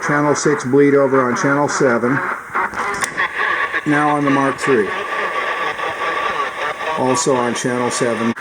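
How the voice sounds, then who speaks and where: A man's voice: medium pitch, steady tone, unhurried, pauses often. A man narrating a hands-on technical demonstration of radio equipment, as a hobbyist would. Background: CB radio receiver noise.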